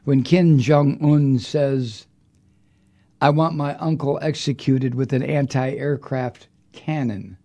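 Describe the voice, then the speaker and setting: A man's voice: mid-range voice, steady tone, takes it slowly, warm tone. A man hosting a political podcast, delivering an angry, profane monologue with heavy emphasis. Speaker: podcast host.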